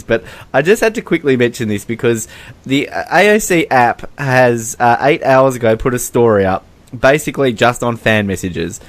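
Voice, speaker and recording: An Australian man co-hosting a podcast in casual chat, rambling along with a joking, mocking manner. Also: mid-range voice. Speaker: podcast co-host.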